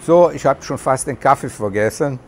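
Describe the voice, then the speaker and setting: A middle-aged man talks with a regional German dialect in a loose, rambling way, stopping often to search for words. He is recorded outdoors on a terrace through a lapel mic, with faint outdoor ambience behind him. His voice sits at an ordinary middle pitch. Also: moderate pace, slight background noise. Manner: rambling, many hesitations, chatty. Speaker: vlogger. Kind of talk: casual talk to camera. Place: covered terrace outdoors in the tropics.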